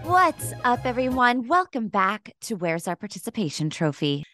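An American woman of thirty-nine hosting a comedy podcast in casual chat, candid and casually profane, quick to laugh. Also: on the high side, big pitch swings, loud music behind the voice. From Pittsburgh, United States. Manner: laughs readily.

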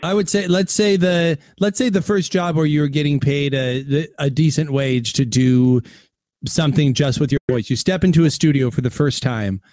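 A man with a fairly high voice hosts a casual interview. He is wry and chatty, wandering through his questions, and the sound around him is very dry. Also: steady tone, moderate pace, a little mellow, dry recording. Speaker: interviewer, host.

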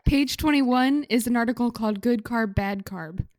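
A woman's voice: a little high, normal speed, darkish tone, no room sound, clean studio sound. A woman co-hosting a podcast with casual, joking banter. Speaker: podcast co-host.

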